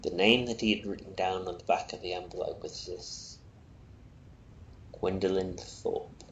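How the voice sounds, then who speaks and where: A man narrates a short story in a low, gravelly voice. His reading is expressive, and it is recorded in an echoey room with a little noise behind it. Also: measured pace, lo-fi sound. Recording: roomy echo, faint noise, rumble.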